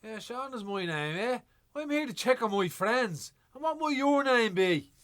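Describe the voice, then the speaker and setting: A man's voice: very high-pitched, animated, moderate pace, a bit bright. An actor playing an indignant, loyal Irish male neighbour confronting people in a radio play. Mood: indignant, confrontational.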